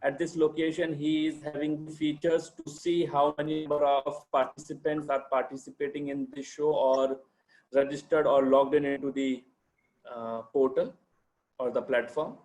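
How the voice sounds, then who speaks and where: Indian male demo guide, somewhat high pitch, polite and deferential. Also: level tone, normal speed, a little mellow, poor audio. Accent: Indian English. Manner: deferential, calls the host sir. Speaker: platform demonstrator. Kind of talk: guided demo of a web platform.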